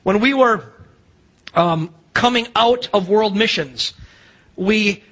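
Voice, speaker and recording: A man's voice: sits high, slow pace, fairly bright tone, breathy edge. A middle-aged man with an American accent preaching a sermon as a pastor, emphatic, posing rhetorical questions and acting out dialogue. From United States.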